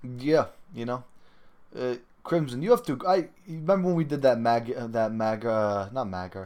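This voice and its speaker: A man hosting a casual gaming podcast, talking in a halting, rambling way. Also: mid-range voice, darkish tone. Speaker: podcast host. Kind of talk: casual gaming talk show commentary.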